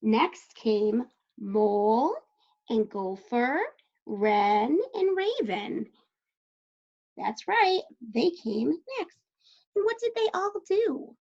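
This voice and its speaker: A woman teacher talking young children through a read-aloud lesson, asking questions, leaving pauses for answers and encouraging them. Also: on the high side, animated, creaky, a little mellow. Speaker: elementary school teacher. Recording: dull lo-fi sound.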